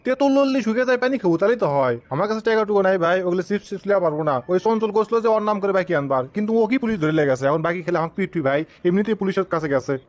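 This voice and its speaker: A man's voice: sits high, expressive, racing, few pauses. A man voicing a boastful, grumbling small-town stoner uncle in a comic cartoon, with a northern Bangladeshi accent. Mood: bantering, fussy. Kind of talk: comic cartoon dialogue in rural dialect.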